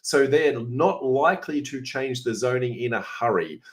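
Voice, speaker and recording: A middle-aged man with an Australian accent, hoarse and raspy today, hosting a casual question-and-answer session and chatting through answers with many filler sounds. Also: medium pitch, expressive, normal speed. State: hoarse, coughing. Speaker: host answering viewer questions. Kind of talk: informal Q&A session.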